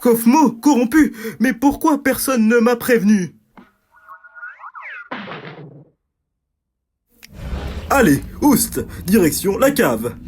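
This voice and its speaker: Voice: mid-range voice, animated, measured pace, a little mellow, slightly rough. An actor voicing a theatrical, bombastic male ringmaster of a digital circus in a French-language cartoon dub, with grandiose showman delivery. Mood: indignant. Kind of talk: animated series dub.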